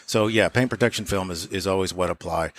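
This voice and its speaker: A man explaining car work in a casual, matter-of-fact way as an interviewed shop owner, pausing and restarting as he goes. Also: low voice, a bit bright.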